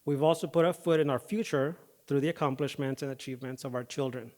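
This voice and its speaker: A man speaking into a podium microphone at an awards ceremony. His voice sounds slightly affected by recent dental work, and his pitch sits at an ordinary middle level. He reads a prepared speech in a steady, earnest way. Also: quick pace. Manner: hesitant start with fillers, then steady reading of a prepared speech. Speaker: firefighter paramedic and foundation president.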